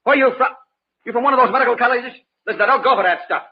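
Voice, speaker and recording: An actor playing a cocky, excitable condemned convict in old-time radio drama, with slangy, jeering talk. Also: male voice, very high voice, rapid-fire, lo-fi sound. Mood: jeering, agitated.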